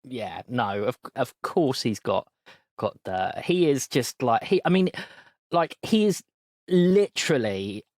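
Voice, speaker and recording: A man talking emphatically in a sweary podcast chat. His pitch swings up and down a lot, and the recording is dry and close with no room sound. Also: sing-song, slow pace, darkish tone, dry recording. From Britain. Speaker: podcast co-host. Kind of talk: casual chat. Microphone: new microphone.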